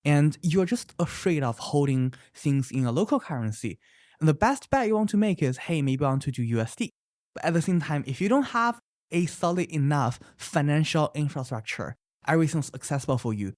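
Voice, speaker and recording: Founder, thirties, really lively up-and-down voice, lots of "right?" and examples. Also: mid-range voice, brisk, dry recording, clean studio sound. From China. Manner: animated, emphatic, often adds "right?"; explains with many examples. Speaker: startup founder. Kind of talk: interview answers.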